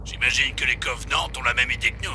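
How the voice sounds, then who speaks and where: A man's voice: mid-range voice, fast talker, pushed and bright, faint music behind. A man playing a tough, dutiful marine sergeant in a video game, speaking tersely like a soldier. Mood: ready. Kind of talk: dramatic video game acting.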